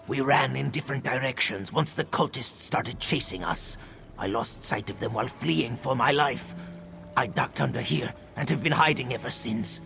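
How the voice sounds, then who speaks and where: A man's voice: medium pitch, moderate pace, gravelly, sharp tone, a little hiss or hum. A man voicing an anxious lizard-folk refugee in hiding, in fantasy video game dialogue. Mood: worried, pleading.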